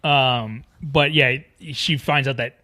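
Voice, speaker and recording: A man takes part in a casual film podcast chat. His voice sits at an average pitch for a man, and he speaks conversationally as a co-host. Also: fairly even intonation, takes it slowly, bright, forceful tone.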